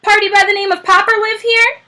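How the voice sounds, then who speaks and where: A chatty male delivery man from a children's storybook, brisk and a little loud, with a raised, lively pitch, as a woman reads him aloud. He sounds bright and forceful. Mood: breezy. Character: gruff male expressman delivering a box.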